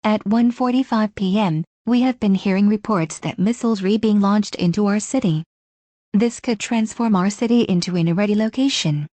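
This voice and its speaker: A woman's synthetic text-to-speech voice reading scripted emergency news in a formal, measured manner. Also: mid-range voice, dark tone, dry recording.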